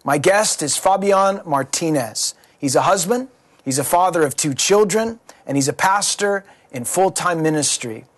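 A man's voice: on the high side, animated, moderate pace. Earnest preacherly male host. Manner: earnest, preacherly, leading questions. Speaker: pastor, show host. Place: studio.

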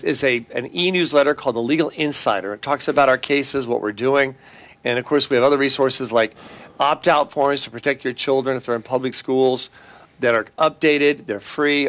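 A man's voice: medium pitch, a bit bright. A middle-aged man with a Californian accent, an attorney talking earnestly on a talk show, making announcements and telling a case story with frequent restarts. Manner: earnest, repeats web address, many restarts.

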